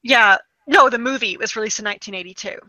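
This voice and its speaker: A woman co-hosting a podcast, chatting casually and telling stories in an animated way. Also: fairly high pitch, fast talker, dry recording, low-quality recording. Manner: animated storytelling with acted-out quotes. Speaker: podcast co-host. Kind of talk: casual chat about films and video games.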